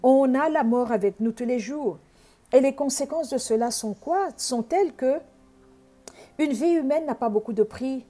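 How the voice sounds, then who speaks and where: Earnest woman preacher, mellow voice. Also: sits high, normal speed, faint music behind. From Congo. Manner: earnest teaching tone, frequent hesitations. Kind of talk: sermon.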